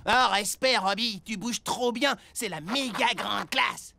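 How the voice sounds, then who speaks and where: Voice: mid-range voice, unhurried, gravelly, background noise. An actor voicing a brash, boastful, scatterbrained boy in a cartoon dub, slangy and theatrical.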